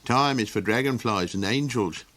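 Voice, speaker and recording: A man's voice: on the low side, fairly bright tone, dry recording, lightly acted. A man voicing a fretful, kindly creature who rambles with ums and chuckles in a storybook reading. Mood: musing. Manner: halting, full of ums and chuckles.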